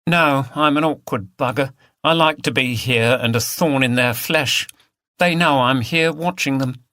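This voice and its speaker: Defiant countrywoman activist, played by a male reader, flippant and forthright, raised pitch, audiobook mystery. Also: a little slow, bright, forceful tone, no room sound. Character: defiant, blunt village animal rights woman.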